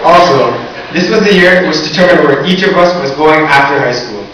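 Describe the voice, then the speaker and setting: A man's voice: fairly high pitch, lively intonation, moderate pace, over a noisy crowd. A teenage man delivering a graduation speech as valedictorian, earnest with a joking touch. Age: teens. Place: large echoey hall or gym. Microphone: recorded from far off in the audience. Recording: distant echoey hall recording with hiss.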